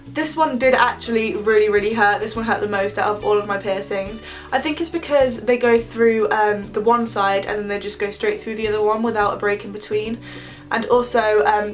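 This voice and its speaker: A young woman with a cold talks casually to camera, her voice nasal and stuffy. She rambles along, filling gaps with ums. The mic sits a little way off, with soft music in the background. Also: mid-range voice, far from the mic. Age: young adult. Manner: chatty, rambling with many ums. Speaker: vlogger.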